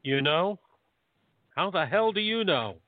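An actor playing a grumpy, lovelorn older time agent with an earnest, grumbling way in a radio play. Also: male voice, high-pitched, sing-song, unhurried, dry recording, phone-quality sound. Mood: troubled, grumpy.

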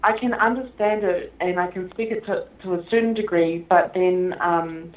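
A woman with a fairly low voice talks about her film and her home in a relaxed, halting way, often breaking into laughter. She comes through a tinny, phone-like line with a hollow, roomy echo. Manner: chatty and halting, many fillers and laughs. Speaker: film director being interviewed. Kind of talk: casual interview conversation.

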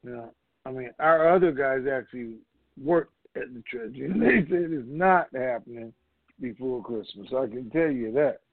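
A man's voice: mid-range voice, animated, normal speed, creaky, no echo, soft background music. A man hosting a conference call, talking to the group in a casual, rambling, folksy way. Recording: muffled, narrow-band phone conference line.

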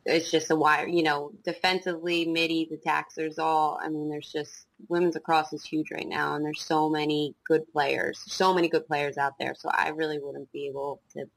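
Woman in her 20s, South Jersey origin, light Jersey accent, low voice, hesitant and casual, dry close recording. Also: flat delivery, moderate pace, gravelly, few pauses, no room sound, rough recording. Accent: New Jersey. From South Jersey, USA. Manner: hesitant, many ums and restarts. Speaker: guest lacrosse coach. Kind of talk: casual interview chat.

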